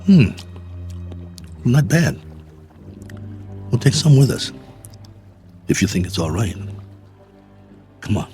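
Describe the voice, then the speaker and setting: An actor playing a stranded space traveler, weary but hopeful, halting and musing in a radio drama. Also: male voice, mid-range voice, sing-song, warm tone, soft background music. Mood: gentle, hopeful. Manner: halting, musing, talks to himself.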